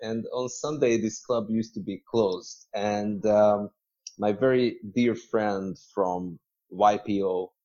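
Male podcast guest, lowish pitch, measured conversational storyteller with hesitations, muffled lo-fi audio. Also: fairly even intonation, darkish tone. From Hungary. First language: Hungarian.

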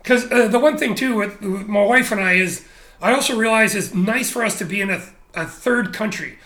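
A man being interviewed, talking casually and candidly about his career, animated and blunt with frequent restarts. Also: sits high, moderate pace, reverberant. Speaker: interview guest, photographer. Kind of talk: casual conversational storytelling.

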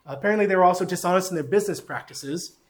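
A man's voice: sits high, very fast. A man with a Southern accent teaching a sermon, folksy and self-deprecating, with frequent fillers. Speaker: pastor.

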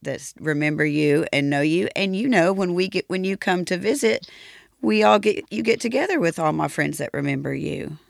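A woman podcast host with a lowish, gravelly voice talks in a casual, chatty way. The recording is dry and close, with no room sound. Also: lively intonation, moderate pace, dry recording. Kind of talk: casual chat.

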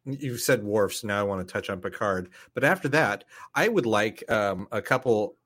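A man's voice: fairly low pitch, animated, normal speed, a bit bright, breathy edge. A man co-hosting a podcast in an easygoing, slightly hesitant way. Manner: easygoing, a little hesitant, small restarts. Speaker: podcast co-host. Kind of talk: casual podcast banter. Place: back room of a game store.

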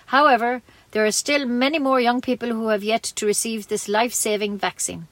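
A woman's voice: on the high side, fairly even intonation, a little fast, a bit bright, no room sound. An Irish woman reading scripted local news as a community radio newsreader.

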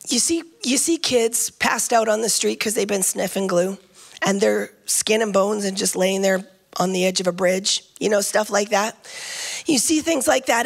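A woman's voice: mid-range voice, vocal fry. A woman preaching a sermon, earnest and emphatic, often repeating phrases as she builds a point.

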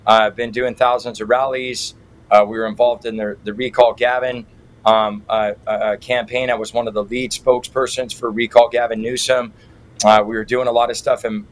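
Activist interview guest: American man, mid-range voice, irreverent and folksy, slight noise behind him. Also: medium pitch. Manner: colloquial, irreverent, folksy turns of phrase. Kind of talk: conversational interview answers. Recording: clearly noisy background.

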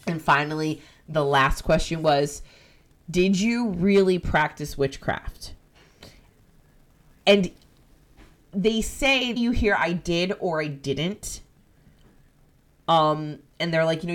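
A woman with a New Jersey accent, hosting a podcast and retelling a ghost-hunting episode in animated, profane casual chat. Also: on the low side, slow, long pauses. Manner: animated, profane, jumps into quoted voices. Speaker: podcast host.

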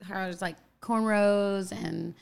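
An actress guest on a podcast who chats casually in a sweet, childlike voice, with plenty of fillers and giggles. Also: female voice, medium pitch, fairly even intonation, slow pace, gravelly, smooth. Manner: giggly, chatty, lots of fillers.

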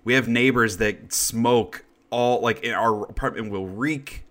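A man hosting a podcast in casual chat, his voice raspy and congested, with a frequent need to cough, and he talks in a chatty, self-deprecating way. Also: mid-range voice, a little slow, a bit bright. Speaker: podcast host.

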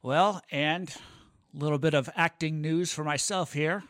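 A man's voice: on the high side, fairly even intonation, a little slow, a bit bright, no room sound, clean studio sound. A man talking casually as a podcast co-host, rambling along enthusiastically with a joking streak.

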